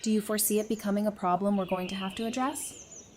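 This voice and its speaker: Casting: actress as practical peacemaker in audio drama; mid-range voice, conversational, clear birdsong and ambience behind her. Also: medium pitch, steady tone, fast, darkish tone, a little hiss or hum, close to an everyday voice. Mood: concerned, fond. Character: friendly, level-headed female survivor, peacemaker.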